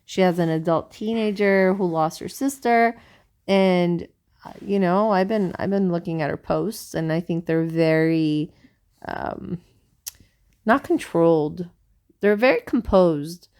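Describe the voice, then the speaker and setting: A woman's voice: fairly low pitch, measured pace, a little mellow, clear, resonant tone. A woman co-hosting a podcast in casual conversation, talking in an earnest, rambling, musing way. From South America. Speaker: podcast co-host.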